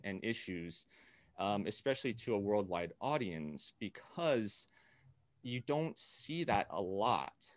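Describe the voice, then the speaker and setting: A young man from Okinawa and Hawaii answers interview questions in a low, steady voice. He talks deliberately, stopping often on "uh" and "um". The sound is dry and close, like a video call. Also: no room sound. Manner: measured, deliberate, with frequent "uh" and "um". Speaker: guest, peace activist.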